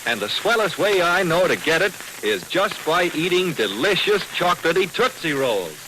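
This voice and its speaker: A man's voice: on the high side, big pitch swings, normal speed, background noise. A man announcing a 1940s radio advertisement for children in an enthusiastic, folksy sales style, on an old recording.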